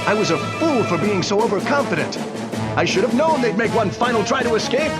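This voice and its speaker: An actor playing a fearless, cocky young superhero who thinks aloud in a dramatic comic-book style. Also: male voice, high-pitched. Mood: frustrated. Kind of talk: dramatized comic-book read-along acting. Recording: loud music under the voice.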